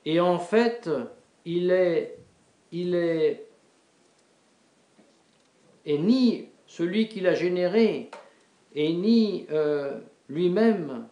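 A middle-aged male priest preaching a sermon in a small chapel, halting and repeating words with many fillers. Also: fairly high pitch, warm tone. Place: small wood-panelled chapel before an altar. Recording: echoey chapel.

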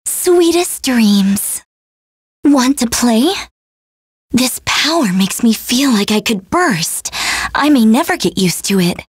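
A woman playing a sweet, hopeful young dream fairy in a video game, with a soft, breathy voice. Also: a little high, expressive, takes it slowly, dry recording, clean studio sound, heavily acted. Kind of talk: video game character voice acting.

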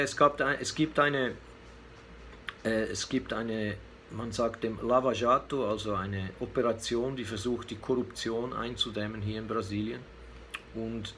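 A man's voice: on the low side, fairly bright tone. A man in his fifties giving unscripted political commentary to camera, hesitant and searching for words. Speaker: vlogger giving a weekly news commentary. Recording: clear background noise, room ambience.

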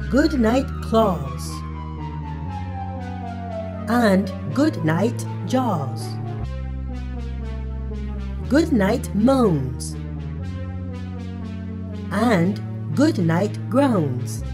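A friendly furry puppet storyteller played by a woman reads a picture book to little children. The delivery is very slow and cheerful, with lively swoops of pitch and careful, singsong words. Music plays underneath. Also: exaggerated voice. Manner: cheerful, deliberate, singsong enunciation. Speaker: children's read-aloud host. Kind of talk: slow storybook read-aloud for young children.

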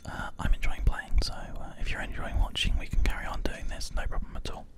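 A man speaks in a breathy whisper, very close to a binaural microphone. He gives soft, soothing commentary on his gameplay at an unhurried flow, as an ASMR host does. Keyboard and mouse clicks sound behind him. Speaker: host, gamer.

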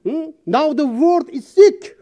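A woman from Iran, giving a seminar speech in an auditorium in halting English, speaking emphatically and reciting verse. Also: high-pitched, lively intonation, dark tone. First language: Persian.

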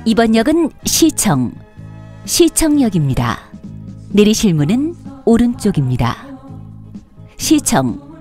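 High, melodic woman making formal transit announcements. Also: wildly swooping pitch, slow pace, long pauses, dark tone, faint music behind. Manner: formal, carefully enunciated. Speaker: subway station announcer. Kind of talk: scripted public transit announcement.

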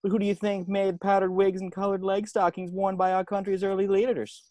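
A man's voice: high voice, monotone, fairly quick, darkish tone, no echo, lightly acted. A man playing a cocky, secretive former hand model with a dramatic, knowing, slangy delivery in an amateur comedy script read. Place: indoors at home on a video call. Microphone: video call.

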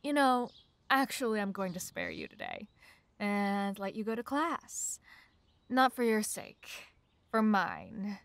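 Woman playing a slow-talking, teasing college bully girl. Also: mid-range voice, sing-song, fairly bright tone, no room sound, heavily acted. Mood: bossy, teasing. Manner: slow, taunting, breathy teasing delivery. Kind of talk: ASMR roleplay.

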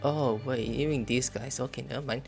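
A man's voice: medium pitch, steady tone, brisk, gravelly. A young man giving casual game playthrough commentary, musing aloud and reacting as he plays. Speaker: gaming streamer. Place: indoor room at home, webcam stream. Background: game music.

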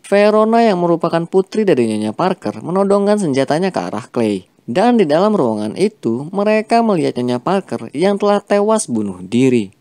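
A man reading a scripted film plot recap as a voice-over, with a steady storytelling delivery. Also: on the high side, moderate pace, no room sound. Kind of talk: scripted narration.

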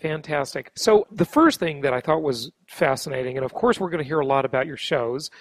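A man with a husky voice hosting a business podcast, introducing and interviewing. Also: a little high, animated, brisk, no echo.

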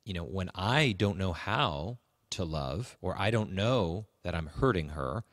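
A man co-hosting a casual, reflective faith podcast, talking haltingly and repeating words as he goes. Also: low voice, animated, no echo, clean studio sound. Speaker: podcast co-host. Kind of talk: casual conversational talk with religious reflection.

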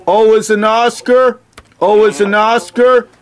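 A man on a phone call speaking in a halting, deliberate, literal way as he spells and reads aloud. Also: sits high, flat delivery. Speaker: phone call recipient stringing a caller along. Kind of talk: slow phone conversation, spelling and reading aloud.

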